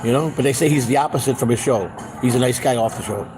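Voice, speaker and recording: A man's voice: medium pitch, fast, crowd noise, rough recording. A man in his fifties hosting a casual, rambling live stream chat, often repeating himself for emphasis. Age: 50s.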